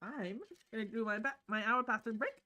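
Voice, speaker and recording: A woman's voice: on the high side, fairly quick, pushed and bright. A woman game streamer talking casually during a live visual novel read-aloud.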